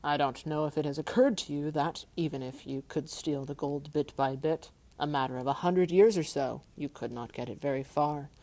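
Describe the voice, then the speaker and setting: A woman's voice: moderate pace, few pauses, warm tone, rough recording. Woman doing a gloating old dragon. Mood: mocking. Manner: gloating, mocking, booming. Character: huge boastful old male dragon, wicked and wily.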